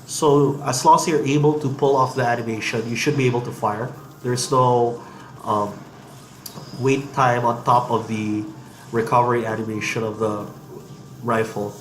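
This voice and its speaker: Male dev with a head cold, mid pitch, hesitant gameplay commentary, echoey room with faint hum. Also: steady tone, moderate pace. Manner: hesitant, many ums and restarts. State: has a cold. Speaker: game developer demoing gameplay. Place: office.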